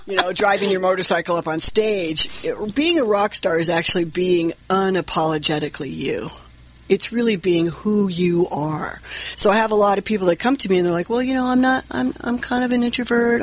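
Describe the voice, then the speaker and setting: A woman brand coach in an interview, talking earnestly and explaining with anecdotes. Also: lowish voice. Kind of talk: casual interview talk.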